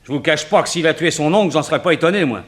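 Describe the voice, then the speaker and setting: An actor playing a blunt, grudge-bearing country farmer in a 1960s French radio drama, with rustic colloquial speech. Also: male voice, on the high side, very fast, fairly bright tone, natural voice. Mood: grudging.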